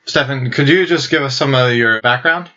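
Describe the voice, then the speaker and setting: A man's voice: medium pitch, normal speed, sharp tone. A man hosting a podcast, asking guests long, conversational questions. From United States. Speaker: podcast host. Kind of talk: podcast interviewing. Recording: dull lo-fi podcast audio.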